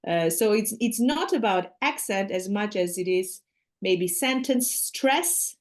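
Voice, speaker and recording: A woman's voice: medium pitch, animated, slow. A woman from Armenia with an Armenian accent, an English teacher chatting over a video call and explaining at length with fillers. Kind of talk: casual video call conversation.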